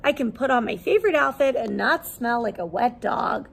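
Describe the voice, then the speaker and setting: A woman preschool teacher reading a children's book aloud expressively, with simple, deliberate questions for young listeners. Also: on the high side, animated, gravelly.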